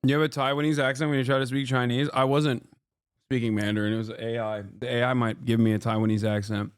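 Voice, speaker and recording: A man's voice: mid-range voice, little pitch movement, fast, smooth, no echo, clean studio sound. A man doing casual live political reaction commentary as a streamer, sardonic and fond of rhetorical questions.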